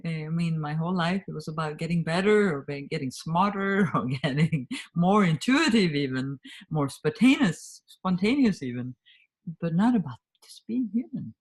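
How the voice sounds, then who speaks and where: A woman in her fifties with a Swedish accent, a Swedish native speaker, talking earnestly and reflectively as an interview guest over a video call, laughing often and letting her sentences trail off softly. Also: sits low, animated, normal speed, breathy edge. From Sweden. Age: 50s. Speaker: guest, communication trainer.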